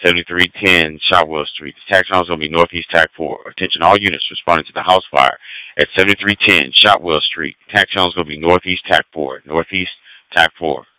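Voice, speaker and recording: A man's voice: deep voice, fairly even intonation, fast, pushed and bright, no room sound, poor audio. A man dispatching fire and emergency units over two-way radio, procedural and repeating key details.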